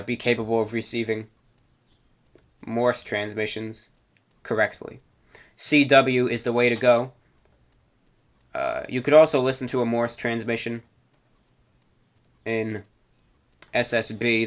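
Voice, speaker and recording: A man's voice: medium pitch, steady tone, unhurried, pauses often, fairly bright tone. Male radio show host explaining things. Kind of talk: informal explanatory presentation.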